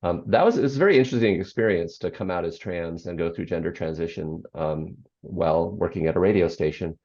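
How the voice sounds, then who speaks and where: An older speaker with a very low, gravelly bass voice answers interview questions at an easy pace, circling back with ums and restarts. The audio sounds a little dull and lo-fi. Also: brisk, a little mellow. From United States. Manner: reflective, frequent ums and restarts. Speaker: guest, author and former radio program director. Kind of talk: interview conversation.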